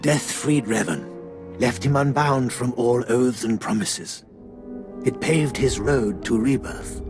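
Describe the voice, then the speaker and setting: An older actor playing a solemn, ceremonial cult elder in a video game, speaking gravely and deliberately. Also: male voice, medium pitch, over music. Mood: solemn, probing. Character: solemn old cult elder testing initiates. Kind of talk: scripted video game dialogue.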